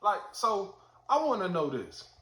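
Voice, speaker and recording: A man's voice: sits high, wildly swooping pitch, unhurried, sharp tone, reverberant. A man hosting a casual interview in slangy, informal language with a hype-man delivery. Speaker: interview host.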